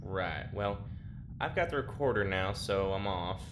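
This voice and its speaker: A man's voice: deep voice, lively intonation, a little slow. A man playing an easygoing, sensible young prisoner in an audio drama, casual and plainspoken. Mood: casual, hesitant. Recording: clear background noise and ambience.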